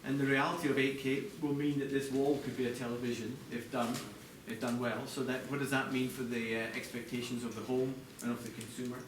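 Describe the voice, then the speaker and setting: A man presenting product design live to a group, explaining in a halting way with corporate phrasing. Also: medium pitch, level tone. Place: large echoey indoor venue, apparently a hotel. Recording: echoey noisy room, distant mic.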